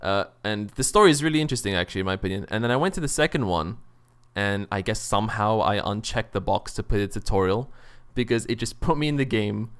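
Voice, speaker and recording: A man's voice: on the low side, expressive, quick pace, few pauses, clean tone. A man hosting a casual gaming podcast chat, talking enthusiastically and swearing freely. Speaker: podcast host.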